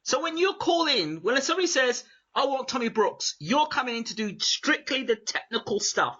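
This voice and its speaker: A man with a strong British accent interviewing a guest, building his questions in long excited runs. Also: very high-pitched, moderate pace. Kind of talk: animated interview chat. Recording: muffled lo-fi audio.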